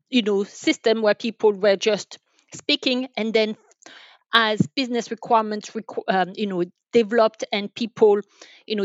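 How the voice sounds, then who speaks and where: A woman's voice: mid-range voice, dry recording, muffled recording. A woman from France with a French accent, giving conversational answers as a podcast interview guest. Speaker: podcast guest, telecom sales manager.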